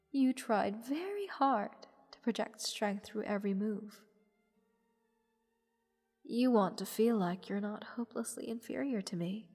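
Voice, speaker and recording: Woman playing a languid haughty goddess in long reverb. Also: medium pitch. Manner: languid, teasing, superior, softly breathy delivery. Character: haughty, bored, condescending goddess of the stars toying with mortals. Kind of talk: scripted roleplay audio drama. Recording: long reverb, music underneath. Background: orchestral music.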